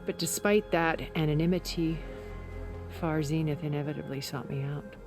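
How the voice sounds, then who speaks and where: An actress playing a composed, secretive, cultured woman in a video game, speaking in a measured, articulate, deliberate way. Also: low-pitched, fairly even intonation, music in the background. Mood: measured, confiding. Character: composed, secretive, cultured older woman, former intelligence broker. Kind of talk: video game cutscene dramatic acting.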